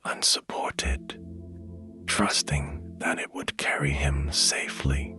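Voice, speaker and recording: Very deep whispering man, slow bedtime narrator. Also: steady tone. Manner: hushed, gentle, soothing, unhurried. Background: music.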